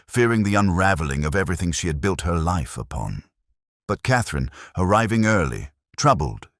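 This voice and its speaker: Very deep-voiced man narrating a detective story. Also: dry recording, clean studio sound. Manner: measured, literary, reflective, sombre. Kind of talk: first-person scripted detective story narration.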